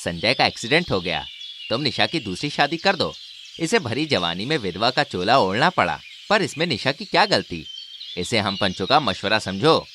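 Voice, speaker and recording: A man's voice: lowish voice, sing-song, moderate pace, background noise. A man voicing an earnest, sober village headman giving serious counsel in a cartoon story. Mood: sober, advising. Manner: serious, advising.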